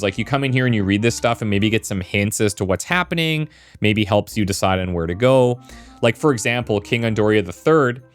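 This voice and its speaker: A man giving casual let's play commentary as a video game streamer. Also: on the low side. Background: game music.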